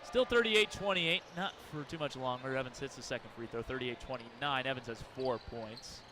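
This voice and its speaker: A man calling live basketball play-by-play for radio in clipped descriptive phrases, quick to get excited. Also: mid-range voice. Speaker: play-by-play announcer. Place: courtside in an arena. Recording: noisy gym with bouncing balls. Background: basketball game arena noise.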